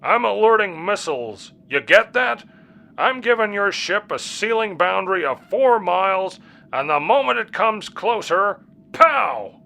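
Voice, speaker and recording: A man voicing a blunt, threatening American army general in an audio story, barking his lines forcefully. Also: sits high, expressive, measured pace, vocal fry, voice pushed up, exaggerated voice. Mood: angry, threatening. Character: gruff, blustering American army general, hard-jawed and threatening. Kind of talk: audio story character acting.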